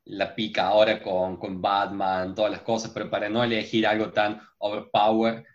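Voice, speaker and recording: Animated man, muffled mic. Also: fairly low pitch, normal speed. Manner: animated, storytelling. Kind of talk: casual podcast chat.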